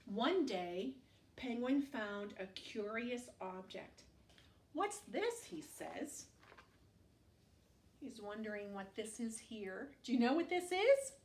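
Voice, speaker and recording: A woman reads a picture book to young children, slowly and expressively, in a fairly high voice. She adds little asides and questions as a teacher would. The room echoes around her. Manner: expressive, asks the listener questions. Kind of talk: read-aloud storytelling to young children with asides. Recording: echoey room, distant microphone.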